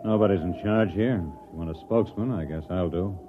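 A man acts a proud, unfriendly settler leader in a vintage radio play. He speaks low, curt and stern. The sound is tinny and narrow, with clear noise behind it. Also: brisk, natural voice. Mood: curt, cold. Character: stern, hostile male colonist spokesman, proud and indignant. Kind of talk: 1950s radio drama acting. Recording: tinny, noisy old radio recording.

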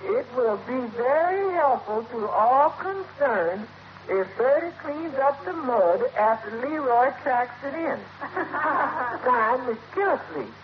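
An actress playing a plain-spoken, emphatic American household cook in a 1940s radio comedy. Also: female voice, high voice, lively intonation, slow. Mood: indignant, wry. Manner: folksy, emphatic, good-humored. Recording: muffled, noisy old radio recording.

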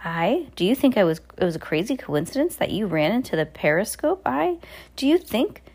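A woman's voice: medium pitch, big pitch swings, a little mellow, breathy edge. A woman voicing a frantic, blustery male submarine captain who fires off rhetorical questions. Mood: exasperated. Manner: rapid-fire rhetorical questions.

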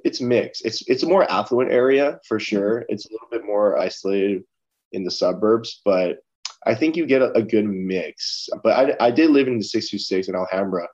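Laid-back young man, lo-fi sound. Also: lowish voice. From Los Angeles, California. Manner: relaxed, rambling, many fillers like "you know". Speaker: guest, lawyer. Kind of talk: casual interview chat. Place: his office. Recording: dull lo-fi sound.

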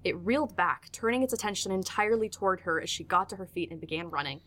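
A woman's voice: mid-range voice, animated, quick pace, fairly bright tone. A woman reading scripted narration for an audiodrama as its storyteller.

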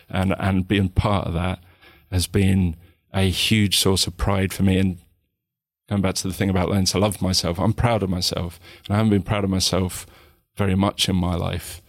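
A man with a southern English accent, a guest in an interview, talking reflectively with many pauses, restarts and "you know"s. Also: sits low, no room sound, clean studio sound. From England.

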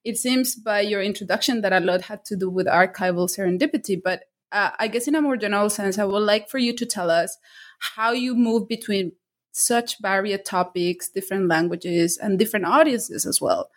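A woman's voice: mid-range voice, normal speed. A woman hosting an interview podcast who reads a formal introduction and asks long, enthusiastic questions.

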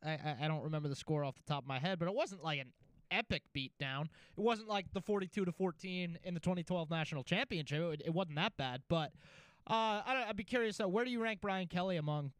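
A man from New Jersey co-hosting a sports talk show, opinionated and joking in quick back-and-forth banter. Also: sits high, clear, resonant tone, no room sound. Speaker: co-host.

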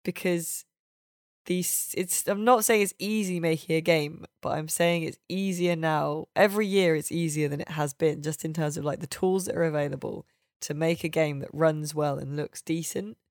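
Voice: medium pitch, fairly even intonation, normal speed, dry recording, clean studio sound. A podcast host, chatting casually and opinionatedly about games in an informal, sweary way.

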